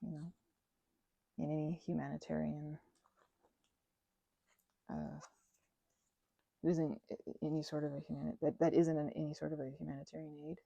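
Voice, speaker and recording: A woman giving an unscripted ASMR-style craft ramble as host, halting and self-correcting in an earnest way, close to the mic. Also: low voice, level tone, creaky, dark tone, husky, dry recording, dull audio.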